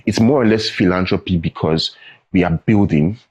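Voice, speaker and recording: Husky-voiced Nigerian man explaining earnestly. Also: deep voice, normal speed, darkish tone. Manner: emphatic, repeats phrases to stress points. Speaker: tech academy founder, guest. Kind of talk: TV interview, explanatory. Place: TV studio.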